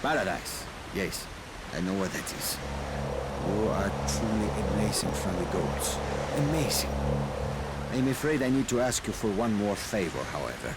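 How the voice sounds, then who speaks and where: An actor playing a devout, grandiose and slightly unhinged missionary in a video game, speaking in formal, rambling, preachy phrases. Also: male voice, lowish voice, big pitch swings, normal speed, very noisy. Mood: reverent, pleading. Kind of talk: video game cutscene acting. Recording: loud music over the voice.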